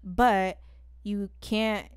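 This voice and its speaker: A woman's voice: medium pitch, fairly even intonation, very slow, clean tone, no echo. A young woman with a Southern California accent, co-hosting a podcast on a close mic. She talks bluntly and swears casually. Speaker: podcast co-host. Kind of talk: casual chat.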